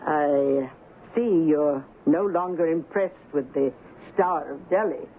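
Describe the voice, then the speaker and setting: A woman's voice: low-pitched, slow. An actress playing a fearless, haughty woman in her eighties, blunt and lecturing, in an old radio drama with a tinny, noisy sound. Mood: haughty, challenging. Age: 80s. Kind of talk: 1970s radio drama acting.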